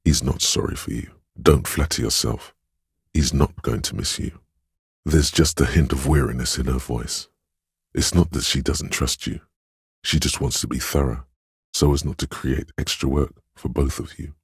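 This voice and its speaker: Super deep gravelly inner empathy voice for a detective game, intimate n knowing, really husky, dry sound. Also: male voice, steady tone, moderate pace, dry recording, heavily acted. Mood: wry, observant. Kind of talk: scripted second-person game narration.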